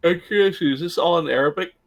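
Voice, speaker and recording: A man's voice: fairly high pitch, fast, creaky. A man, one of the players, chatting playfully and giggling over an online voice call. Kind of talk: casual out-of-game chat.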